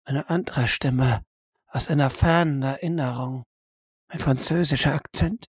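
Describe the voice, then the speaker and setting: Woman game master telling a creepy story in second person, really slow, dry sound. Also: rumbling low, breathy edge, no room sound. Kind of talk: slow atmospheric second-person horror narration.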